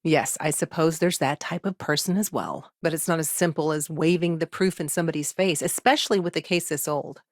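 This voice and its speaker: An actress voicing a busy, pragmatic, principled woman lawyer in a video game, speaking in a businesslike, frank, measured conversational way with dry asides. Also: low voice, no echo.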